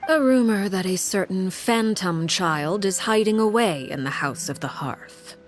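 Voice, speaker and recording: A woman playing a composed, commanding leader in a video game, speaking formally with measured, quiet authority. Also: mid-range voice, expressive, a little hiss or hum, lightly acted. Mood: calm, grave. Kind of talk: dramatic video game dialogue. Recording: faint music behind the voice.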